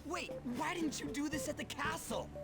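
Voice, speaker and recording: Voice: high-pitched, normal speed. An actor voicing a brash, hot-headed teenage boy with slangy, blunt speech in an anime video game. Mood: excited. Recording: game music behind the voice.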